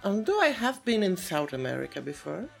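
A woman in her twenties with a slightly low, very animated voice, chatting as a co-host on a music podcast. She talks casually, circling back on her words as she thinks, with a teasing streak. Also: brisk, vocal fry. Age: 20s. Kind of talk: casual chat about records.

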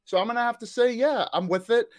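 Eager, excitable man on a podcast. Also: extremely high pitch, fast. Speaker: podcast guest. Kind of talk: casual podcast chat.

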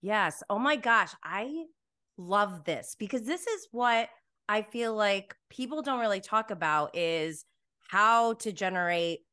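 A woman hosting an interview in an eager, encouraging way. Her voice has a bright, forward tone. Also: medium pitch, lively intonation, measured pace. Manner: enthusiastic, affirming listener. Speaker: podcast host. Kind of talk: casual interview chat.

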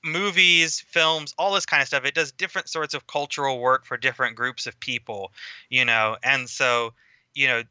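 Bright, crisp-voiced man explaining at length. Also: mid-range voice, steady tone, no echo. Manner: measured, explanatory, lecturing at length. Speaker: podcast guest. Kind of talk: casual film podcast discussion.

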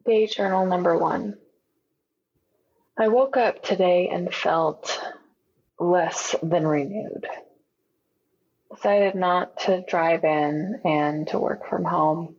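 A dull, lo-fi recording of a haunted young woman in a found-footage audio drama. She speaks slowly in a low register, introspective and on edge. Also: a little mellow, husky, full character voice. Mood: weary, anxious.

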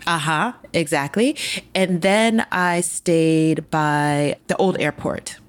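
A woman hosting a podcast interview, asking curious questions in a halting way with restarts. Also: sits low. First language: English. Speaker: podcast host. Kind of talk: casual interview chat.

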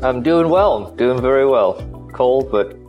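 A man's voice: medium pitch, expressive, a little slow, highs cut off, soft background music. A man from the Caribbean co-hosting a podcast, friendly and stumbling over restarts as he talks. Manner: friendly, rambling with repeated restarts. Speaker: podcast co-host. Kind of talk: casual podcast chat.

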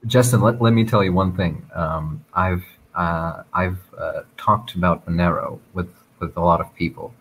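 Man from Russia, American accent, low voice, halting casual guest, faint hiss behind. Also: steady tone, rough recording. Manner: hesitant, many fillers and restarts. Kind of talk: casual chat.

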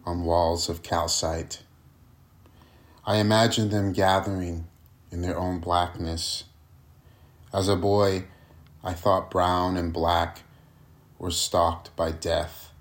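Male poet, deep and unhurried, solemn reading with deliberate phrasing. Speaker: poet reading own poem.

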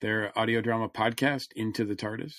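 Man, halting delivery, dry close sound. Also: on the low side, fairly even intonation, fairly bright tone, dry recording. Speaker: podcast co-host. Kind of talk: casual chat about fandom news.

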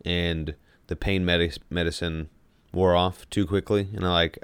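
A man with a deep, smooth voice talks casually as a podcast co-host. He tells things like personal stories, rephrasing as he goes, in a dry, close recording. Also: fairly even intonation, normal speed, no room sound. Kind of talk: casual chat, personal anecdotes.

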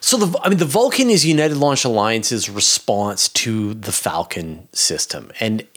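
A man with a fairly low voice talks as an expert guest on a podcast. He explains in a casual, informal style and leans on key words for emphasis. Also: animated, a little slow, fairly bright tone, husky.

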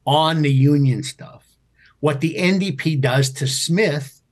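A Canadian man giving conversational political commentary as a guest, with a husky voice and a halting, rephrasing way of explaining. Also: a little high.

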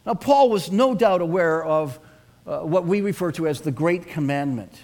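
Middle-aged male pastor, earnest sermon delivery, slightly higher voice, engages the congregation. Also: expressive, normal speed, darkish tone. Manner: earnest, asks the congregation to respond.

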